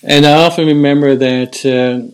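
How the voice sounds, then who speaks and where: A man's voice: on the high side, steady tone, moderate pace, poor audio. An older man who stutters, with repeated words and restarts, speaking in an earnest, reflective way in a casual conversation. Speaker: guest.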